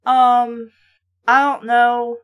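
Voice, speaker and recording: Female book reviewer, high-ish voice, sardonic and blunt, casual read-and-react delivery. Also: flat delivery, drawn-out words, fairly bright tone, smooth. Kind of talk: casual read-aloud with running critical commentary.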